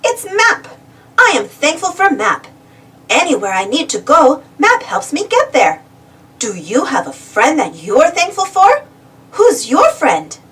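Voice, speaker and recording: High-pitched woman reading a children's storybook. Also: measured pace, distant mic. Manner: expressive, asking questions directly to young listeners. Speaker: storybook reader. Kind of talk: animated read-aloud storytelling for young children.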